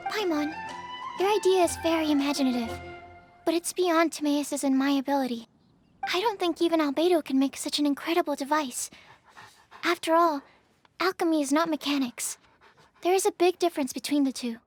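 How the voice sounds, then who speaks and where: Timid, precise young female alchemist, woman acts, high voice, game score behind. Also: fairly quick, long pauses, no echo, lightly acted. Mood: polite. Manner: timid, apologetic, stammers. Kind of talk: video game cutscene dialogue. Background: game music.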